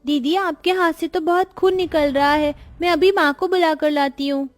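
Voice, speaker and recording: A woman's voice: high-pitched, fairly even intonation, moderate pace, natural voice. A woman voicing a sweet, caring little girl with a childlike, earnest manner in a moral story. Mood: upset, concerned. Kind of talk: animated moral story dialogue.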